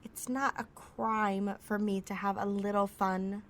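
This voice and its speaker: A woman's voice: mid-range voice, level tone, measured pace, lightly acted. Woman playing a cocky, sarcastic female thief, noisy recording. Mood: sulky, teasing. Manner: sassy, sarcastic, foul-mouthed. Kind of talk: ASMR roleplay audio drama.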